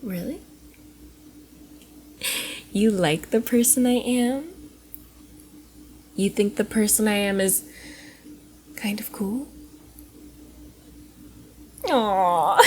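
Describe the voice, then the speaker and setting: A woman playing a flustered, affectionate young cosplayer in an intimate ASMR roleplay, coy and giggly, often dropping into soft breathy murmurs. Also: mid-range voice, slight background noise. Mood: touched, affectionate. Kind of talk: ASMR roleplay, intimate one-sided dialogue to the listener.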